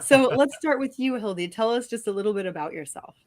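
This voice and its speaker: A woman's voice: a little high, lively intonation, fast talker. A woman hosting a live video show, upbeat and chatty, introducing guests and laughing often. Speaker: host.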